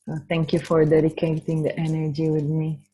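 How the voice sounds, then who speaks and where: A woman with an Israeli accent speaking gently and informally as she leads a spiritual healing webinar. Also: low voice, monotone, a little fast, slightly rough, low-quality recording. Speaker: spiritual healer hosting a webinar. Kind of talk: guided meditation and informal webinar talk. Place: online webinar from a computer.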